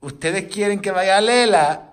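A man's voice: sits high, a little slow. A middle-aged man in a home living room, chatting with his children and patiently coaxing them with questions, recorded by a camera across the room. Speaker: parent vlogger. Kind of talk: casual family chat.

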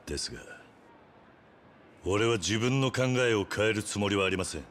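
An actor playing a stoic, principled yakuza lieutenant in a video game, speaking in a restrained, terse, resolute way. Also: male voice, on the low side, normal speed, a little hiss or hum, natural voice. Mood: stoic, firm. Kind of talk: dramatic game cutscene acting. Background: background music.

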